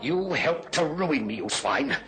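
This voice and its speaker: A man's voice: on the high side, very animated, unhurried, fairly bright tone, full character voice. An actor playing an arrogant, hot-tempered male gambler in a radio drama, blustering and accusing. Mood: outraged.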